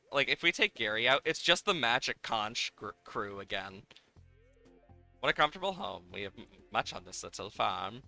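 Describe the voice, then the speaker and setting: A man's voice: medium pitch, bright, forceful tone, no echo. A man livestreaming a game, talking casually and playfully as he thinks aloud in a rambling way. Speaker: livestreamer, host. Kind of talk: casual live game-stream chat, reacting to game and viewers.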